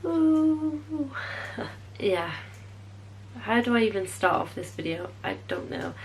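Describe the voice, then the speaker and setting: A woman's voice: a little high, big pitch swings, slow pace, a little hiss or hum. A young woman, chatting to camera in a casual vlog, bubbly and rambling, correcting herself as she goes. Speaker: beauty vlogger.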